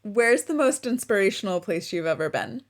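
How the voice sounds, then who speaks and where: A woman hosting a casual interview, relaxed and easygoing with casual slang. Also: mid-range voice, moderate pace, a little hiss or hum. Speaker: interviewer, host. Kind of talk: casual conversation.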